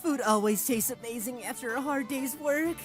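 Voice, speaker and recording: A woman playing an eager, wide-eyed young male hero in a comic dub, excitable and expressive. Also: a little high, moderate pace, music in the background, lightly acted. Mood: delighted.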